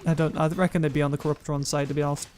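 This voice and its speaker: A young man with a self-described monotone voice, rambling through gameplay commentary and viewer comments, often correcting himself mid-sentence. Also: a little high, racing, faint music behind. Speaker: gaming YouTuber.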